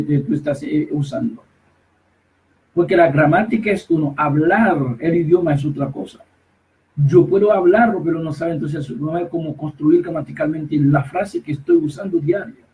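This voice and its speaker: A man with a fairly high voice preaches insistently, repeating himself and breaking off mid-phrase. He stands some distance from the microphone, so his voice sounds set back in the space. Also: dark tone. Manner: emphatic, repetitive, halting with restarts. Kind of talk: preaching commentary.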